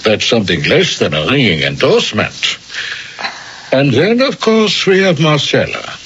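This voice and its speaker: An actor playing a skeptical, patronizing psychiatrist in a radio drama, questioning pointedly with measured emphasis. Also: male voice, medium pitch, very animated, a bit bright. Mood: probing. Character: skeptical, probing male psychiatrist, patronizing but patient. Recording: dull, hissy old radio recording.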